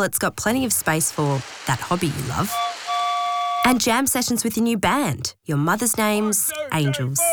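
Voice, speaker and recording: Tongue-in-cheek female ad voice-over. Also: mid-range voice. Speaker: advertisement voice-over. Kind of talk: scripted ad read.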